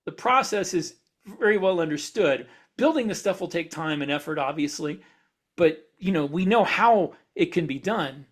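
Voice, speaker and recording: A man with a notably high-pitched voice talks through ideas as a podcast co-host. He explains step by step in a casual, speculative way, halting and rewording as he goes. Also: lively intonation.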